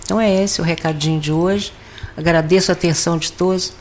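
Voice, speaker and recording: A Brazilian woman with a hoarse voice and a cough, giving a rambling spoken religious reflection full of fillers. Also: on the low side, fairly even intonation, quick pace, darkish tone, slight background noise. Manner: meandering, with many fillers and restarts. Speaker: lay speaker giving a weekly reflection.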